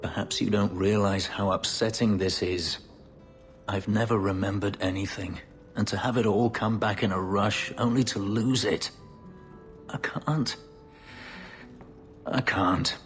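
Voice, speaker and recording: A man playing a guarded, brooding elf and former slave in a video game, with a solemn, halting delivery. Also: fairly even intonation, natural voice. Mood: anguished, regretful. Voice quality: deep, low voice. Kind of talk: dramatic video game dialogue. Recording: reverberant hall sound with music underneath.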